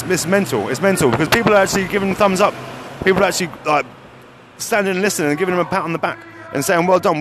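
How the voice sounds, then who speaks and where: A man's voice: a little high, noisy background. A man hosting a podcast, giving casual, rambling live commentary that often repeats phrases. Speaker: podcast host.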